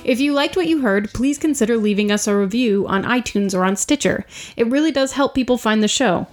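A woman in her forties, a podcast host playing a tabletop role-playing game, playful and quick to laugh. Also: a little high, faint music behind.

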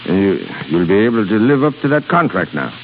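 A man's voice: lowish voice, very animated, fairly quick. An actor playing a crusty, blustering old shipping boss in his sixties, sputtering salty seafaring talk in 1930s radio style. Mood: defeated. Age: 60s. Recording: crackly, tinny 1930s radio broadcast.

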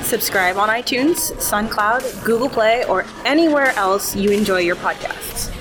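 A woman's voice: medium pitch, a little slow, music in the background, rough recording. A woman reading a scripted podcast intro. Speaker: podcast host.

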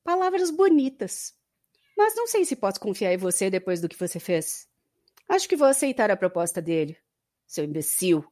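A woman's voice: mid-range voice, big pitch swings, moderate pace, warm tone, natural voice. A woman playing a bitter, defiant young captive in an audio drama, with a scornful, dramatic delivery. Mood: bitter accusing.

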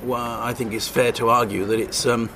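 An older man, a longtime journalist, answering interview questions hesitantly, with many pauses and restarts and a wry edge. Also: medium pitch, little pitch movement, fairly bright tone, a little hiss or hum. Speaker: journalist guest.

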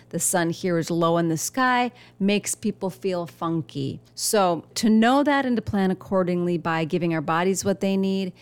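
A woman's voice: on the low side, clean tone. A woman hosting her own podcast, speaking in an earnest, encouraging solo monologue, her voice a little weak and nasal. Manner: earnest, warm encouragement with repeated phrases. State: slightly weak, nasal voice from illness. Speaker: podcast host.

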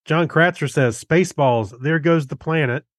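A man co-hosting a podcast in casual chat, reading things out in a halting, easygoing way. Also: fairly high pitch, no echo, clean studio sound. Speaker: podcast co-host.

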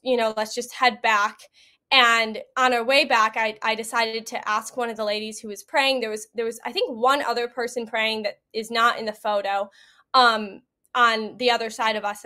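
A woman's voice: fairly high pitch, sharp tone. A young American woman, a podcast guest giving a casual, chatty first-hand account. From United States. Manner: chatty, conversational.

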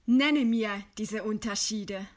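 A woman's voice: mid-range voice, takes it slowly, natural voice. An actress playing a stern, contemptuous lady in a radio play, questioning in a curt, demanding manner. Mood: cool, challenging.

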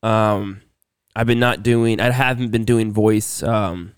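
Guy rambling through a story on a podcast, throat's sore from being sick, smooth clear voice, dry sound. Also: fairly low pitch, steady tone, slow, no room sound. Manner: rambling storyteller, many restarts and fillers. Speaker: podcast co-host. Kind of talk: casual chat.

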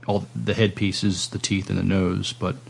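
A man hosting a casual podcast interview, with hesitant, halting phrasing. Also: deep voice, flat delivery, vocal fry, slightly rough. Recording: noticeable background noise.

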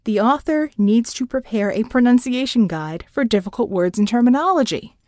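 A woman who works as a narrator, giving instructional advice in a deliberate, emphatic, articulate way on a close mic. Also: fairly high pitch, animated, dark tone, no echo. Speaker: audiobook narrator. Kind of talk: instructional talk to listeners.

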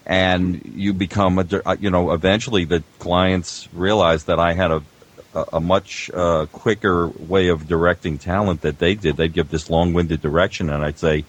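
An older man, a veteran producer, chatting casually and haltingly, acting out quotes as he tells anecdotes. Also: deep voice, fairly even intonation, breathy edge. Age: 50s or older. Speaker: veteran audio producer, guest.

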